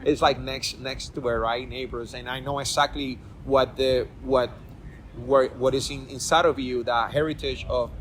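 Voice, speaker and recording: A man's voice: medium pitch, fairly even intonation, normal speed, fairly bright tone, a little hiss or hum, low-quality recording. Man hosting a podcast. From Puerto Rico. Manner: rambling, repeats words and restarts often. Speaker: podcast host. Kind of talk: casual interview chat.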